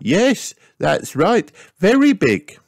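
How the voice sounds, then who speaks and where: A man's voice: slow pace, creaky, no room sound, studio quality, heavily acted. A man voicing a fussy, chatty female rabbit shopkeeper in a children's storybook reading. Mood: urgent. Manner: high, animated storybook voice.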